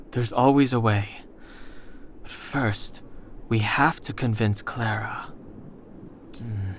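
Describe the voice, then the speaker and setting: A man playing a composed, analytical young man in a video game, measured and dry in delivery. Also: on the low side, a little hiss or hum. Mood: calm, reasoning. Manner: measured, matter-of-fact, dry.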